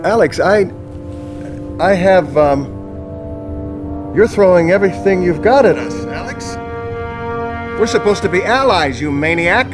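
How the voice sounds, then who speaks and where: Agitated American president, older, high voice with big swings, folksy bluster, game cinematic over prominent music. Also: male voice, lively intonation, unhurried, pauses often. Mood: indignant, flustered. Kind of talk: campy cinematic game cutscene acting. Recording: loud music underneath.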